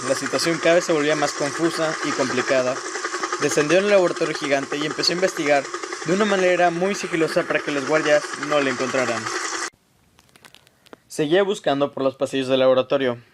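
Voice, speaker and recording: A man's voice: mid-range voice, a little hiss or hum. Male narrator of an action radio drama.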